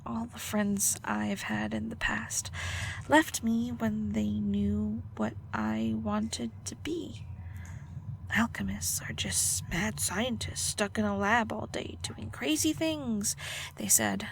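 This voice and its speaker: A woman playing a prickly, guarded but secretly caring alchemist in an audio roleplay, halting and flustered, teasing and defensive. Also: mid-range voice, sing-song, creaky, slight background noise, heavily acted, low-quality recording. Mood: shy confession. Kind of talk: audio roleplay acting, intimate one-sided dialogue.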